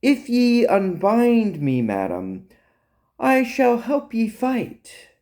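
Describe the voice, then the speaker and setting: Storybook captive, male reader acting: pompous mock-gallant fellow, put-on British accent, high and slow. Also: very animated, darkish tone, exaggerated voice. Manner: pompous, archaic, theatrical. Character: mock-chivalrous captive man faking Britishness.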